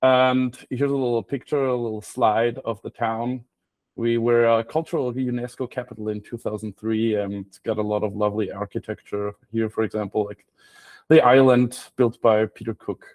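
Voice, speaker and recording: A man's voice: medium pitch, fairly even intonation, normal speed, a little mellow, no echo. A man with an Austrian accent giving an informal slide talk in a wry, self-deprecating way. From Graz, Austria. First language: German. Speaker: guest speaker, freelance copywriter.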